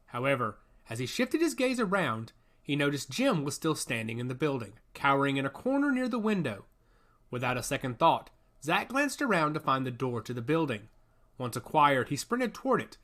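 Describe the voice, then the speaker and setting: Expressive male storyteller with a bright, forceful voice. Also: on the high side. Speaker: audiobook narrator. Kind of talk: dramatic scripted fiction narration.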